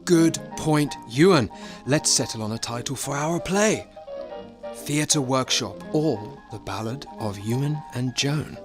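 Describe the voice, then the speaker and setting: 1930s radio producer, genial, pompous, brisk, medium pitch, radio drama, music underneath. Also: male voice, lively intonation. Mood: genial, self-satisfied. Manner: brisk, pedantic, mildly pompous. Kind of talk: radio play acting and narration.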